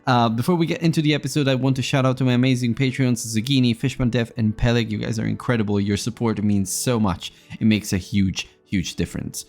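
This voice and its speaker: A man's voice: mid-range voice, fairly even intonation, fairly quick, few pauses, a little mellow, faint music behind. A man hosting an interview podcast in a polite, conversational way, often wordy and hesitant as he talks.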